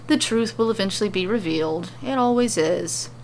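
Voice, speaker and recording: A woman's voice: medium pitch, expressive, normal speed, darkish tone. Woman narrating a fantasy story over faint noise. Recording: faint background noise and ambience. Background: ambient sound effects.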